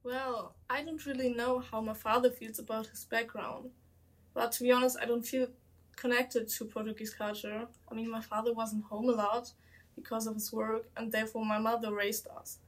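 A woman's voice: a little high, level tone, moderate pace. A young woman speaking candidly in a casual conversation. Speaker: discussion participant.

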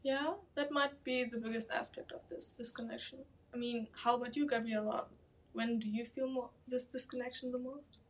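A woman's voice: fairly high pitch, steady tone, moderate pace. A young woman speaking candidly in a casual conversation. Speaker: discussion participant.

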